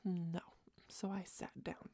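A young woman doing a soft, close-mic whispered girlfriend roleplay, rambling and doting, a little tipsy. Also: on the low side, level tone, slow, vocal fry, husky, no room sound. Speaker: ASMR roleplayer.

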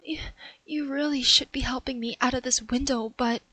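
A young woman voicing a cheeky catgirl cat burglar, sassy and teasing, with breathy meows, in an audio roleplay. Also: sits high, fairly even intonation, moderate pace, bright, forceful tone, no room sound, full character voice. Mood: breathless.

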